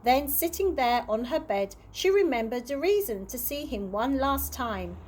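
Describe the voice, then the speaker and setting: A woman reading a children's storybook aloud in an expressive storytelling manner, with small stumbles. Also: high voice, normal speed, poor audio. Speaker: early years practitioner reading stories.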